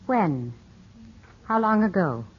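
An actress in a 1950s radio drama playing a poised, enigmatic middle-aged widow, speaking in a measured, intimate, restrained way. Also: female voice, mid-range voice, sing-song, husky, heavily acted. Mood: shaken, probing. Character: poised, enigmatic widow of about thirty-five, wounded and gracious. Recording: dull, muffled old radio recording.

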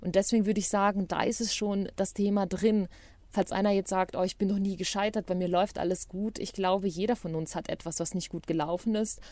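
A woman's voice: mid-range voice, fairly even intonation, a little fast, darkish tone, no echo. Podcast co-host, woman, non-native German speaker, upbeat and thoughtful, dry close sound. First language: not German. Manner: enthusiastic, reflective. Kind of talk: casual podcast chat.

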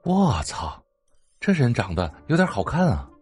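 A woman's voice: very deep voice, very animated, measured pace, darkish tone, breathy edge, soft background music, voice pushed down, exaggerated voice. A woman voicing a crude teenage boy who gossips about a new classmate. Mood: gossiping.

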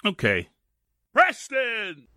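A man playing a plain-spoken, obliging prison guard in a 1940s radio drama, with a husky voice and folksy, colloquial speech. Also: sits high, huge pitch swings, very slow, bright, forceful tone, no echo, studio quality, heavily acted. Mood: gruff, obliging.

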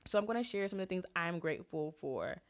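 Low-voiced woman podcaster with a head cold. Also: expressive, fast talker. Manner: earnest, asks listeners direct questions. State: congested with a cold, sniffly. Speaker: podcast host. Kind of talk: casual solo podcast advice talk.

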